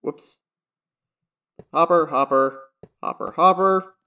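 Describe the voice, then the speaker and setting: A man's voice: on the high side, slow, bright, forceful tone, smooth. A man giving casual, chatty commentary over his gameplay, talking to himself as he builds. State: strained voice, recovering from voice loss. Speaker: Minecraft YouTuber.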